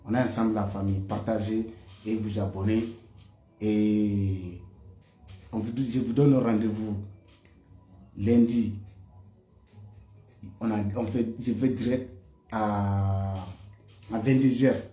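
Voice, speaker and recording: A man's voice: on the low side, fairly even intonation, slow pace, long pauses, dark tone, reverberant, soft background music. A man delivering an impassioned monologue to his viewers, heated and repetitive, often stammering on repeated words. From West Africa. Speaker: online commentator.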